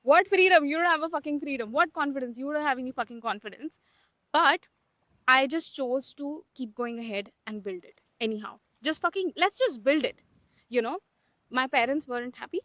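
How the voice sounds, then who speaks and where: A woman's voice: high-pitched, lively intonation, normal speed, fairly bright tone, no echo. A young adult woman hosting a candid podcast conversation, emphatic and blunt, swearing for emphasis. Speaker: podcast host.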